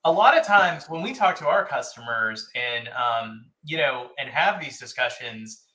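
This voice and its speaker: A man hosting an interview in a casual, upbeat way, often lifting his voice for quick reactions. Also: mid-range voice, expressive, bright, forceful tone, rough recording. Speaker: host.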